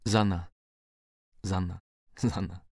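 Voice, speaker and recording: Low, velvety tender young man. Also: steady tone, slow pace, darkish tone, no echo, clean studio sound, heavily acted. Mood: tender, longing. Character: Franz, slow, tender young man writing to his sweetheart. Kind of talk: radio play letter reading.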